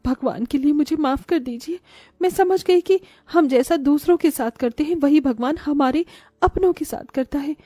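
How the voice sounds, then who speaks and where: Woman as greedy cartoon street seller, persuasive, soft dark timbre, background music. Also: sits high, normal speed, no room sound, studio quality, close to an everyday voice. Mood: remorseful. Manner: self-justifying, persuasive. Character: greedy, crafty young mother selling street food.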